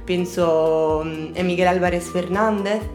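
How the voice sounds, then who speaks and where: A woman with an Italian accent, Italian being her first language, answering interview questions as a radio artist. She talks thoughtfully, pausing often to search for her words. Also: on the low side, steady tone, a little mellow, faint music behind. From Naples, Italy.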